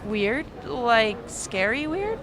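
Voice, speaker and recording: A woman's voice: on the high side, sing-song, very slow, background noise, exaggerated voice. A woman playing an inquisitive, plain-spoken child in an audio drama. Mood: curious, concerned. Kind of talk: full-cast audio drama acting.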